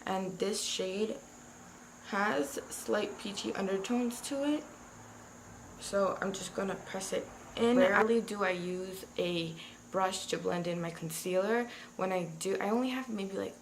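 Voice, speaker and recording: Woman, beauty vlogger, casual chat, slightly raspy morning voice, talks to viewers. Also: medium pitch, a little slow, noisy background. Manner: chatty, talks to viewers directly. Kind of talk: casual product review.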